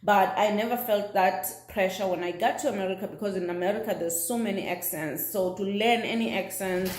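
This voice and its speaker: A woman's voice: medium pitch, fairly quick. A South African woman whose first language is Xhosa, chatting casually to camera as a vlogger, with lots of fillers and restarts. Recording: roomy echo.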